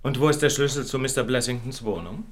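An actor playing a confident, probing gentleman detective with precise, assured delivery in a German radio drama. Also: mid-range voice, fast, slight background noise, close to an everyday voice. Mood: probing, curious.

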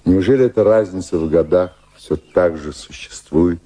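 Low, husky, courtly colonial gentleman. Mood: courting, wistful. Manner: courtly, deliberate. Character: dignified, ardent 54-year-old British colonial governor, courting. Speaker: actor in radio play. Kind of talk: Russian radio drama acting.

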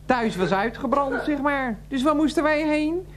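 An actress playing a chatty, rambling middle-aged psychiatric patient in a radio play, breezy and folksy. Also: female voice, high voice, expressive, moderate pace, slight background noise. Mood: rambling, confiding. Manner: rambling, folksy, repeats a pet phrase.

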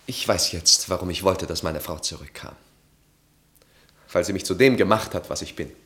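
A man's voice: deep voice, moderate pace, a bit bright. An actor playing a troubled, self-absorbed, proud married man in a German radio play, speaking formally and earnestly. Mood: anguished, subdued.

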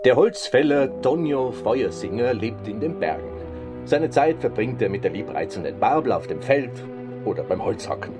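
An actor playing a boastful mountain film star and storyteller in a radio play. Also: male voice, medium pitch, sing-song, normal speed, over music, natural voice. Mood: storytelling. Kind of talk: German radio play acting.

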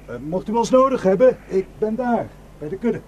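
A man's voice: high voice, expressive, normal speed, a little mellow, highs cut off, a little hiss or hum. Hot-tempered village herdsman, widely swinging voice. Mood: easygoing, helpful. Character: hot-tempered, suspicious, protective Kurdish village herdsman husband. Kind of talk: radio drama acting.

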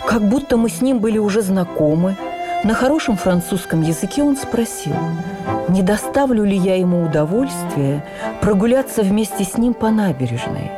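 A woman reading a first-person memoir as a radio drama narrator, with expressive, measured storytelling. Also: medium pitch, music in the background. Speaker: narrator, actress.

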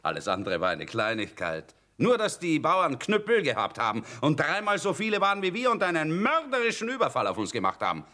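An actor playing a bold, boastful young soldier, telling things with a swagger in a theatrical radio play. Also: male voice, a little high, huge pitch swings, a little fast, few pauses, pushed and bright, exaggerated voice. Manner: swaggering storytelling.

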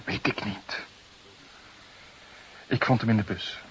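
An actor playing a desperate, defensive young murder suspect of about twenty, nervy and halting under interrogation in a crime drama. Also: male voice, fairly low pitch, exaggerated voice. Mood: subdued, guarded. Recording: clearly hissy background noise.

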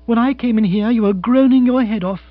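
A man's voice: extremely high pitch, fairly quick, no echo, pitched above the performer's own voice. A man voicing a spirited, outspoken young Englishwoman in a comic audiobook, scolding emphatically with indignation. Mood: reproachful. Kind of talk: comic audiobook character acting. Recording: muffled recording with hum.

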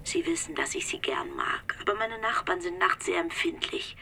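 Low-voiced, lively, teasing mademoiselle. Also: female voice, moderate pace, creaky, husky, in a whisper, heavily acted. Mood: surprised, reluctant. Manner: coquettish, mildly scolding. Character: teasing, gently firm French mademoiselle, reluctant lover. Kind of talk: German radio play acting.

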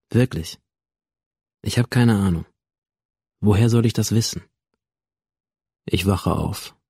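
A sullen young school shooter in a modern radio play. His voice is low and mellow, recorded very dry. He is cynical and defiant, sliding between introspective narration and coarse, sardonic retorts. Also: gravelly, slightly rough, no echo, heavily acted. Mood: defensive, then detached, bitter. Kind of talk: modern radio drama acting.

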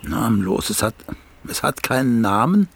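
An actor in a German radio play voicing a vain, needling elderly playwright who speaks in a pompous, deliberate way. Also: male voice, mid-range voice, sing-song, fairly bright tone, a little hiss or hum. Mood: probing. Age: older. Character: vain, cantankerous elderly once-celebrated playwright.

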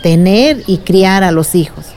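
A woman's voice: on the low side, animated, unhurried, darkish tone, a little hiss or hum. A woman playing a patient, wise village aunt who explains things in a radio drama. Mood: patient, earnest.

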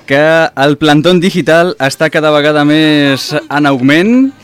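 A man's voice: on the high side, unhurried, clean tone. A man hosting a radio talk show, steadily introducing and describing news items for the panel.

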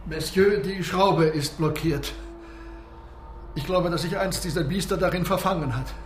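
A man's voice: a little high, unhurried, close to an everyday voice. An actor playing an urgent, commanding seaman in a radio play. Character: urgent, commanding seaman calling men to fight. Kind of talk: German radio play dialogue. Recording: echoey room, faint noise.

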